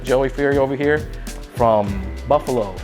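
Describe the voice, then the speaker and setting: A man's voice: mid-range voice, moderate pace, a little mellow, soft background music. A man casually introducing a group of players, one after another.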